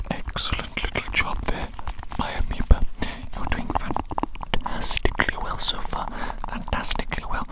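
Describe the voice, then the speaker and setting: A woman whispering softly and breathily as a doctor in an ASMR roleplay, giving repetitive, soothing instructions. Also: low-quality recording. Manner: slow, repetitive, soothing instructions with pet names.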